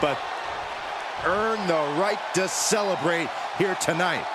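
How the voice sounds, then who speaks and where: A man's voice: sits high, slow pace, very noisy. A man playing an outraged wrestling commentator, exclamatory and indignant. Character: outraged male wrestling announcer. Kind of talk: live-style sports commentary. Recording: loud crowd roar and music behind.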